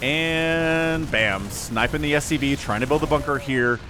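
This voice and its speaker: A man's voice: fairly high pitch, unhurried, noisy background. A man casting a strategy video game replay in a casual, jokey play-by-play style, chatting between the action. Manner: animated, chatty, jokey, with laughs and hummed fillers. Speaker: game shoutcaster.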